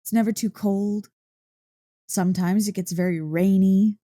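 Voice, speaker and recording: An excitable dog-girl girlfriend, acted by a woman in an ASMR roleplay, with a soft, mellow voice recorded close and dry. She gushes and teases playfully, taking her time over the words as she talks one-on-one to her partner. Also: medium pitch, measured pace, no room sound, lightly acted. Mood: dreamy, gushing. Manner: gushing, teasing, playfully indignant.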